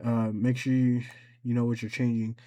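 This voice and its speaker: A man giving a casual, unscripted software tutorial, thinking aloud as he goes, haltingly repeating and correcting himself. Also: medium pitch, little pitch movement, highs cut off. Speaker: tutorial host.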